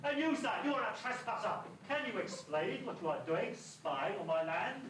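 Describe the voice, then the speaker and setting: A man's voice: high voice, big pitch swings, normal speed. A man acting a lordly, stern but secretly hopeful beast in an amateur rhyming pantomime, imperious and theatrical. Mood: menacing. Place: school hall stage. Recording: echoey distant stage recording with hiss.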